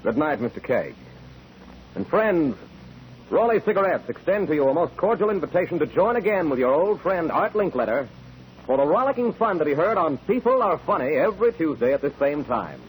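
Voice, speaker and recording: High-pitched 1940s American radio announcer man. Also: slight background noise, low-quality recording. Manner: polished, salesman-like. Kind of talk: 1940s scripted announcing and sponsor reads. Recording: old 1940s radio broadcast. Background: music.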